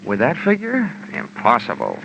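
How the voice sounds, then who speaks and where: Wry hard-boiled detective, old radio. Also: male voice, medium pitch, huge pitch swings, normal speed, creaky. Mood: flippant. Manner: wry, flippant, tough-talking. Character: wisecracking, hard-boiled male private investigator. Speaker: lead actor and narrator of a detective series. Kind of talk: old-time radio detective drama acting. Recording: dull old radio recording with music.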